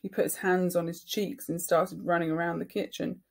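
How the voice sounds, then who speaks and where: A woman reading a children's novel aloud in an expressive storytelling style, like a teacher to a class. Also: fairly low pitch, normal speed, vocal fry. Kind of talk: expressive children's audiobook narration.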